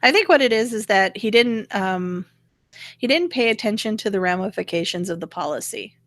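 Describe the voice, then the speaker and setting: A woman's voice: mid-range voice, lively intonation, moderate pace, fairly bright tone, dry recording, studio quality. A woman hosting a casual political chat, explaining her opinions as she goes. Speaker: show host.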